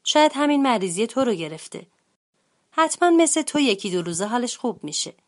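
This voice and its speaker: A woman voicing a father bear in a children's story, speaking softly and reassuringly. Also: fairly high pitch, very animated. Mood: soothing.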